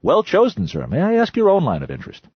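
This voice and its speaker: A man voicing a loud, smirking, sardonic genius full of quick wordplay, pitched well above his own voice. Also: wildly swooping pitch, slightly rough, dry recording, highs cut off, exaggerated voice.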